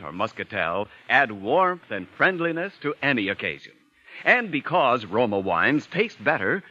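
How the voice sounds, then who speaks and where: Male vintage radio commercial announcer: polished, bright, lively salesmanship on dull old recording. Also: mid-range voice. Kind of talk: 1940s radio advertisement, scripted.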